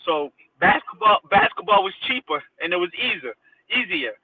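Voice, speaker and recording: A man's voice: high voice, wildly swooping pitch, normal speed, vocal fry, slight background noise. A man from Haiti who moved to America as a child, chatting informally as an interview guest, using slang and repeating phrases for emphasis. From Haiti, then Connecticut from age six. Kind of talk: casual chat, personal recollection. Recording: tinny phone-like line, distant from mic.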